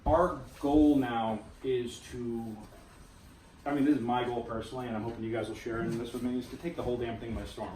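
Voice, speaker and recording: A man's voice: medium pitch, steady tone, fairly quick, warm tone. A man speaking informally to a theatre cast as their playwright and director. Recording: echoey room, distant mic, faint noise.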